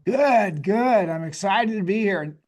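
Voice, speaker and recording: A man with a slightly raspy, talk-worn voice, explaining technical matters conversationally as a guest expert, in an emphatic, folksy way with rhetorical questions. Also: high voice, expressive, takes it slowly, darkish tone. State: voice worn from days of talking. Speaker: guest expert, dentist and inventor.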